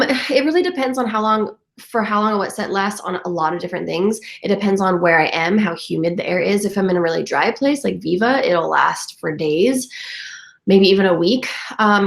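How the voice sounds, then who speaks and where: A young woman talks in a chatty, upbeat way, her voice congested from a cold. She speaks at an ordinary pitch and fills her talk with little fillers. Her voice rings in an echoey room at home. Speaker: vintage lifestyle vlogger. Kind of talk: casual live chat answering comments.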